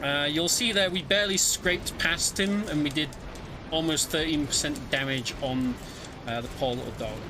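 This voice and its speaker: A man's voice: a little high, normal speed, background noise. A man giving casual live commentary as he plays a video game, explaining the story as he goes. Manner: explanatory, narrates the story while playing. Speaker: speedrunner commentating own game run.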